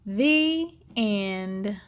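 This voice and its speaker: A woman hosting children's story time, reading aloud and talking to young listeners in a deliberate, clearly phrased way. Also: medium pitch, animated. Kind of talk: animated children's read-aloud narration.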